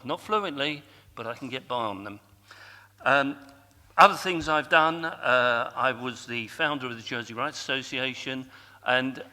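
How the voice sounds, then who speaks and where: A man's voice: medium pitch, sharp tone. A man in his sixties giving a boastful hustings speech as an election candidate, listing his achievements. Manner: boastful, self-promoting. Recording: echoey hall with long reverb.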